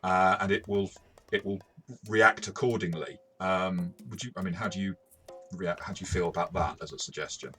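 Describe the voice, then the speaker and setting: Male reviewer, very low forceful voice, analytical and exploratory, faint music underneath. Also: steady tone, moderate pace. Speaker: game reviewer and host. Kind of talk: casual analytical review discussion.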